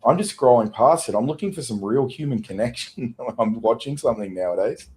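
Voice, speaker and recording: A man co-hosting a business podcast, talking in a relaxed, conversational way. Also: medium pitch, fairly quick. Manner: relaxed, conversational, chuckles easily. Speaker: podcast co-host. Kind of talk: casual chat.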